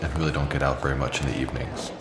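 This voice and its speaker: An actor playing a reclusive, secretive immortal man posing as a philanthropist in an audio drama, courteous, measured and evasive. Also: very deep voice, steady tone. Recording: roomy echo with music underneath. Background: music.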